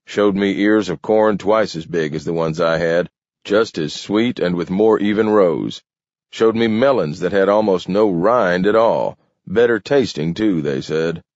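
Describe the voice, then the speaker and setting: A man voicing a weary, stubborn middle-aged farmer who speaks in gruff rural dialect. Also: low-pitched, a little slow, a little mellow, no room sound. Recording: dull lo-fi recording.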